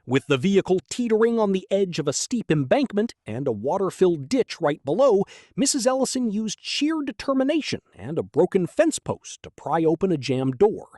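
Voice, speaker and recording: A man's voice: high voice, dark tone, no echo, studio quality. A man playing an earnest small-town radio news anchor, reading a scripted bulletin.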